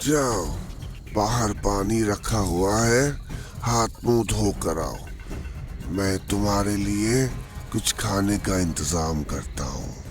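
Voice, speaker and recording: Guy doing creepy older uncle for a cartoon, low slow voice, bossy and mysterious. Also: music in the background. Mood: calm. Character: mysterious, insistent older village uncle in a stormbound house. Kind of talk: acted Hindi cartoon horror dialogue.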